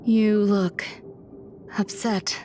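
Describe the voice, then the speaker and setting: An actress voicing an aloof genius woman scientist in a video game, speaking in a measured, formal, detached way. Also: medium pitch, level tone, a little mellow, a little hiss or hum. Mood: detached, musing. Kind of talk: scripted video game dialogue.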